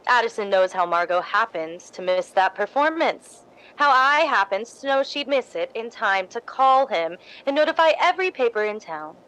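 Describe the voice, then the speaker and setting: A woman playing an ambitious, scheming, falsely sweet young stage actress in a radio drama, deliberate and insinuating. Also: on the high side, lively intonation, bright, forceful tone, low-quality recording. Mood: coolly menacing. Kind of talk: recreated 1950s radio drama acting.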